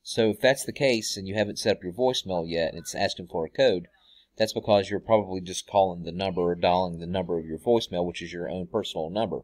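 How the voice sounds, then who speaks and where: Low-voiced man giving casual tech help. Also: fast, a little mellow, dry recording. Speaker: tech help video host. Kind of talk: casual tutorial talk. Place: small room at home, indoors.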